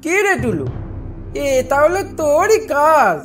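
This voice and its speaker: A woman's voice: sits high, very animated, slow pace, loud music behind the voice, exaggerated voice. A woman voicing a worried but firm village parent in a Bengali cartoon, questioning and admonishing. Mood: scolding.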